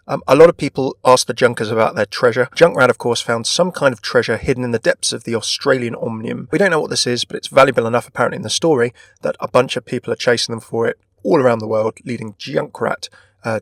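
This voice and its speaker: A man's voice: mid-range voice, fast talker, creaky, no room sound, studio quality. A man presenting a gaming video in a scripted narration style. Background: background music.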